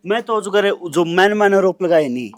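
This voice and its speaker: A man's voice: high-pitched, lively intonation, moderate pace. A man with a Haryanvi accent asking questions in an informal interview.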